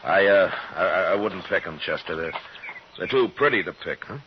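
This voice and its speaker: An actor playing a stern, steady American frontier marshal, laconic and authoritative, in old radio western style. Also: male voice, noisy background. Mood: quietly firm. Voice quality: deep, authoritative. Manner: measured, understated. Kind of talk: 1950s radio western acting and narration. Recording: muffled vintage radio recording with music.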